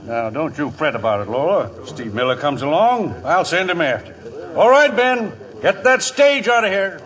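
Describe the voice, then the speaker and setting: Lively, folksy frontier marshal. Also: male voice, fairly high pitch, normal speed. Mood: genial, firm. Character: folksy, genial, firm middle-aged male frontier marshal. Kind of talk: 1950s radio drama acting. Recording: old 1950s radio broadcast.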